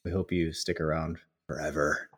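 A man's voice: a bass voice, little pitch movement, normal speed, slightly rough. A man hosting a casual chat, loose and jokey, often repeating words as he goes. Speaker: host.

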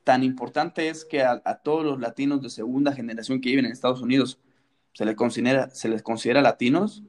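A man's voice: mid-range voice, fairly even intonation, quick pace. A man co-hosting a casual wrestling chat, playful and teasing, fond of rhetorical questions. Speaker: wrestling podcast co-host.

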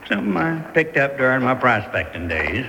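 A man's voice: mid-range voice, sing-song, a little fast, creaky, pushed and bright, full character voice. A man playing a shrewd, suspicious sheriff in a radio western, with a folksy rural Western American accent. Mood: musing suspicion. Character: shrewd, suspicious male Old West sheriff. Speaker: actor. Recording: noisy vintage radio broadcast.

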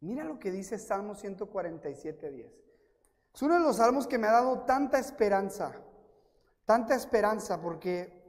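A man from Mexico with a Mexican accent, preaching a sermon as pastor, telling self-mocking stories and acting out quotes in a lively way. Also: sits high, moderate pace. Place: church. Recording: reverberant church hall.

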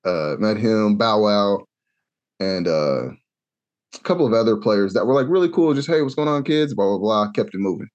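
A young adult man from Kansas telling a long, animated story in a casual podcast chat, acting out the voices he quotes. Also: on the low side, moderate pace, lo-fi sound. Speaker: podcast co-host.

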